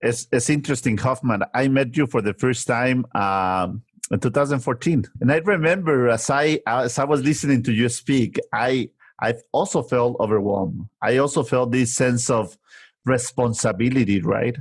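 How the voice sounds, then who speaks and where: A man's voice: medium pitch, moderate pace, husky, dry recording. A man from Mexico, a native Spanish speaker with a Mexican accent, interviewing his guest in a thoughtful, reflective way and often checking in with "right?".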